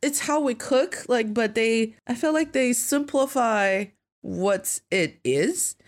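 A woman's voice: on the high side, expressive, slow pace. A woman from northeast China chatting casually as a podcast co-host. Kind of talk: casual conversational podcast chat.

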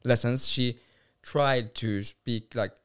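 Mid-pitched man in casual chat. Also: fairly even intonation, takes it slowly, clear, resonant tone. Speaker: podcast co-host.

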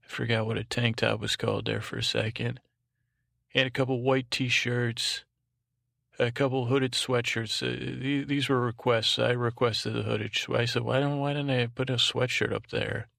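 A man's voice: medium pitch, level tone, normal speed, vocal fry, a bit bright, no echo, clean studio sound. A man hosting a bedtime podcast, talking in a droning, meandering monologue with hesitant false starts. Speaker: podcast host.

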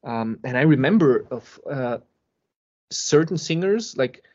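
A man explaining things casually as a podcast guest, with emphasis and pauses to find his words. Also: mid-range voice, animated, darkish tone. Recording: dull lo-fi podcast audio.

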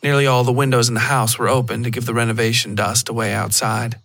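A man's voice: medium pitch, level tone, quick pace, gravelly, fairly bright tone, no room sound. A man reading a scripted first-person horror story as a podcast narrator.

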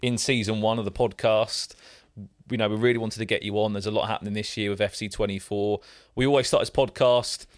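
A man's voice: fairly low pitch, fairly even intonation, brisk, no room sound, studio quality. A man co-hosting a football podcast, asking loose, easygoing questions. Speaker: podcast co-host. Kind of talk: casual podcast chat.

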